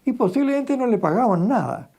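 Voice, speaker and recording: A man's voice: very high-pitched, lively intonation, a little fast, gravelly, mellow. A man recalls his research in a documentary interview, emphatically repeating phrases to build his points. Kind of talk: spontaneous interview recollection.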